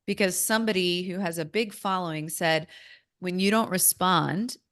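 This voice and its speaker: An American woman converses as an interviewer. Her voice sits a little lower than most women's, and she phrases her thoughts in a reflective, deliberate way. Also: fairly bright tone.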